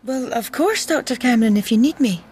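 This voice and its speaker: An actress plays an eager young Scottish nurse in a radio drama. Her fairly high voice is polite, modest and a little flustered, over faint background ambience. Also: expressive, brisk, a little mellow, a little hiss or hum, natural voice. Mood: polite, hesitant.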